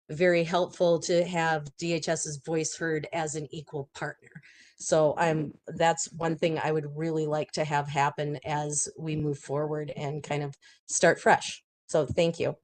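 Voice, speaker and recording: A woman with a raspy voice from a cold, speaking haltingly with many ums in a video-call committee meeting. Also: low voice, darkish tone. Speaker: advocacy volunteer and support planner.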